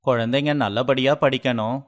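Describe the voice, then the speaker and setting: A man's voice: mid-range voice, steady tone, normal speed, lightly acted. A man voicing an earnest, respectful, humbled young city man in a moral story. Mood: remorseful.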